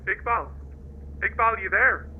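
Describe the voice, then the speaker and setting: An old cab company boss in a radio play. He sounds high, brusque and baffled, squeezed through a thin cab radio filter. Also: unhurried, a little hiss or hum. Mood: puzzled, brusque. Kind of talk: naturalistic radio drama acting. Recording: tinny, narrow cab radio line.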